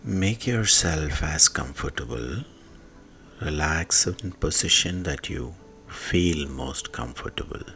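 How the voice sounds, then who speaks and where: A man with an extremely deep voice guides a sleep meditation very slowly. His delivery is hushed, with long pauses and a gravelly fry in the low notes. Phrases often trail off into breath. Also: lively intonation. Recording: soft music behind the voice. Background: soft music.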